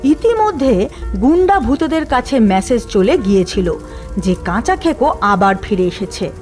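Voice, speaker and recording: A woman narrating an animated ghost story with a lively, swooping delivery. Her voice sits a little high, with music playing underneath. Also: normal speed. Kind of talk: scripted narration.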